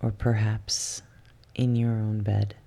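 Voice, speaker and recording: A soft, hushed voice guiding a yoga nidra relaxation in ASMR style, soothing and unhurried, slipping at times into a whisper. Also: mid-range voice, level tone, vocal fry. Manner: soothing, unhurried, with long pauses. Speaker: guided relaxation instructor.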